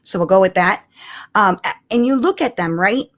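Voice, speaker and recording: A woman co-hosting a casual fan discussion, telling things in an animated way and often checking in with the listener. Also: mid-range voice, normal speed. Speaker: podcast co-host.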